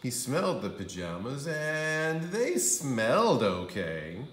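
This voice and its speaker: A man reading a children's story aloud as a kindergarten teacher, with expressive, drawn-out emphasis on key phrases. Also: medium pitch, echoey room. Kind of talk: animated storybook narration for young children.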